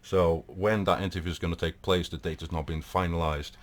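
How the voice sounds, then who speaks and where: A man's voice: low-pitched, fairly even intonation, fairly quick, a little hiss or hum. A man from Portugal with a Portuguese accent, hosting a casual live talk in a rambling way, with many fillers and restarts. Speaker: host.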